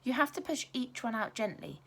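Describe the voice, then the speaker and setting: A woman voicing a gentle, patient mother from a storybook, explaining things to her child. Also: a little high, sing-song, a little fast, voice pushed up, exaggerated voice. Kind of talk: storybook character dialogue.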